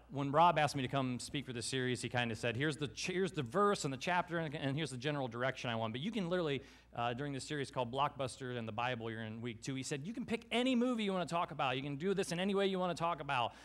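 A man's voice: mid-range voice, fairly bright tone. A man in his thirties, a guest pastor, gives a casual, joking sermon with many fillers from a church stage on a headset mic. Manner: chatty, joking, lots of fillers. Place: church stage, large hall.

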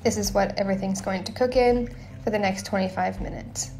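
A young woman hosting her own home cooking show, talking through each step in a relaxed, chatty way with small asides. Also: medium pitch, fairly quick. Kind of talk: casual cooking voice-over. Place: home kitchen.

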